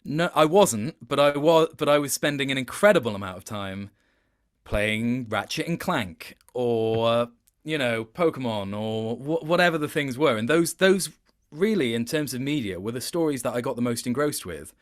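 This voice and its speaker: A man's voice: mid-range voice, lively intonation. A man chatting as an interview guest about his work, reflective, often restarting and correcting himself mid-sentence. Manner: reflective, self-correcting, halting phrasing. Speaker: interview guest, video game voice actor. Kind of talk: casual interview chat.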